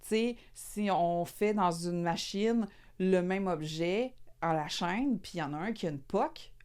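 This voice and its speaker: A Québécois woman nearing forty chats freely on a podcast, with a fairly low pitch. She speaks in an animated, colloquial way and laughs easily. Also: unhurried, clean tone. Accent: Québécois French. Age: 30s. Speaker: podcast co-host. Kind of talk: casual chat.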